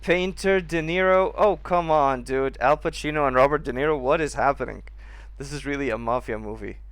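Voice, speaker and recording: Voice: medium pitch, fairly quick, smooth. A streamer giving casual playthrough commentary in amused, muttered asides.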